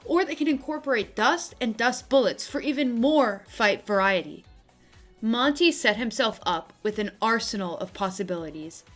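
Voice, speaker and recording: High-voiced young woman giving opinionated commentary. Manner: expressive, emphatic, gushing and griping. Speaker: video essayist. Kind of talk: opinionated scripted commentary with casual asides. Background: background music.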